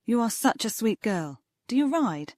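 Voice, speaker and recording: A woman's voice: fairly high pitch, sing-song, moderate pace, a little mellow, dry recording, clean studio sound. A woman playing a gracious, genteel aristocratic mother in a comic dub. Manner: polite, genteel.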